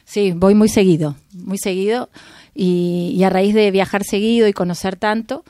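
A woman's voice: mid-range voice, fairly even intonation, moderate pace, warm tone, dry recording, clean studio sound. A woman with an Argentine accent, chatting casually as a radio interview guest and correcting herself as she goes. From raised in Argentina. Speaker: interview guest, travel advisor.

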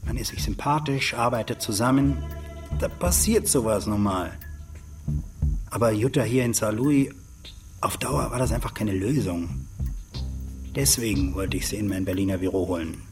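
An actor playing a self-important, combative middle-aged politician in a radio drama, with pompous, declamatory delivery. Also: male voice, fairly low pitch, moderate pace, pauses often, over music, natural voice. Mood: defensive. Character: self-important married male nationalist politician, combative and aggrieved. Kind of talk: German radio drama acting.

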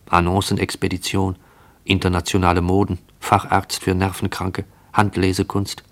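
A haunted man speaks an inner monologue in a radio play. His voice is very low and his delivery measured and introspective, as if gripped by obsession.

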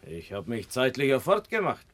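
An actor playing a cocky, sly, swaggering village bully with a teasing manner in a German radio play. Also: male voice, medium pitch, huge pitch swings, moderate pace, fairly bright tone, no echo. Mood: jovial, sly.

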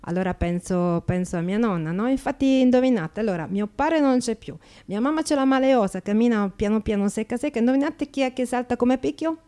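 An actress playing a brash, cheerful Slovak immigrant prostitute in a theatrical monologue, chatting in broken Italian with a Slovak accent and frequent tag questions. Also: female voice, on the high side, animated, a little fast, few pauses, warm tone, close to an everyday voice. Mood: playful, animated.

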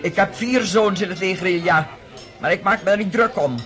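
A woman playing a blunt, bossy older aristocratic matron in a radio play, gruff and authoritative with dry humour. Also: medium pitch, moderate pace, fairly bright tone, faint music behind, close to an everyday voice. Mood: scolding, stoic. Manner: gruff, authoritative, dryly humorous.

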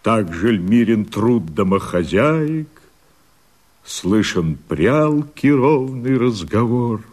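A brooding Cossack rebel on the road in a radio verse drama. His low voice is rough and husky. He declaims very slowly, searching and resolute. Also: big pitch swings, darkish tone, exaggerated voice. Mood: searching, wistful.

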